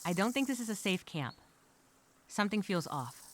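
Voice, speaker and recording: A woman's voice: medium pitch, big pitch swings, normal speed. A woman voicing an anxious, rambling castaway who narrates a story as a dramatic, theatrical first-person journal. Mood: paranoid, uneasy. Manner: theatrical, overthinking, repeats herself, self-questioning.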